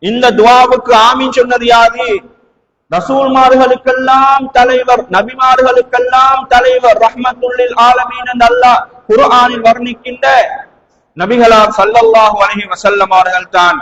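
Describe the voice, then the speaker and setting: A man with a Sri Lankan Tamil accent preaching an Islamic sermon emphatically and deliberately, in short phrases with repetition. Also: very high-pitched, little pitch movement, a bit bright, lo-fi sound. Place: large echoing indoor mosque hall. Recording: echoey hall, distant from microphone.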